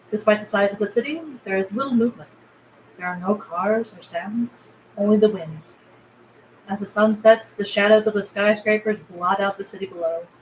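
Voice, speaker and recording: A woman's voice: medium pitch, low-quality recording. Woman narrating a screenplay, faint noise. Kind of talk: scripted narration, screenplay read aloud.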